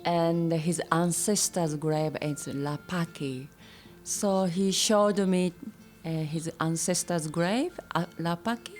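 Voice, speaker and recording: Brief: Japanese woman, accented English, slow and halting, low, soft music underneath. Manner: simple halting English, laughs often. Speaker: interviewee. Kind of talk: personal story, monologue.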